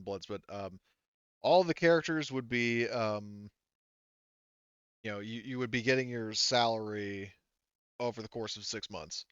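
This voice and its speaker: A man explaining tabletop game mechanics as game master, in a halting, casual and mildly sweary way. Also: lowish voice, a bit bright, smooth, no echo, highs cut off.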